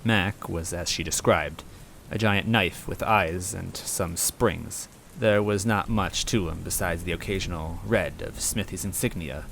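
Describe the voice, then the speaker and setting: Male narrator, low pitch, wry deadpan delivery, scripted story reading, faint rain noise behind.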